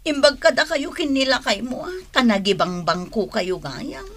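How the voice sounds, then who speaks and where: Radio drama wife played by a woman: Ilocano accent, highish pitch, plaintive, worn down by money worries. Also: moderate pace, a crowd in the background, natural voice. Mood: troubled. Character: distressed married woman burdened by debts, remorseful wife.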